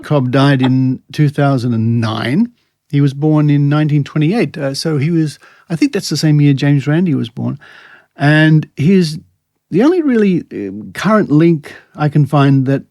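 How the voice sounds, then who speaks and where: A man's voice: a little high, a little slow, darkish tone, no echo, studio quality. An Australian man hosting a podcast in a casual, wryly amused, conversational way. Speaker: podcast host. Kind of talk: casual chat about psychics and scepticism.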